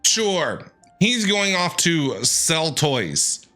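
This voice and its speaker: A man's voice: a little high, big pitch swings, very slow, bright, forceful tone. A man with an American accent giving casual, playful reaction commentary to a game, talking back to its characters. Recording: game music playing behind.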